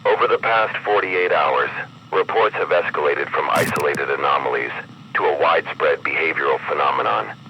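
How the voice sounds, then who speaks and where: A man reading a scripted horror emergency broadcast as an announcer, in a grave, measured, ominous manner. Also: rumbling low. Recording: muffled broadcast with clear background noise.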